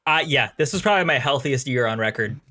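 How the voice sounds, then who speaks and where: A young man co-hosting a podcast in casual chat, upbeat and quick with quips, laughing easily. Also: medium pitch, lively intonation, bright, forceful tone. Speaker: podcast co-host.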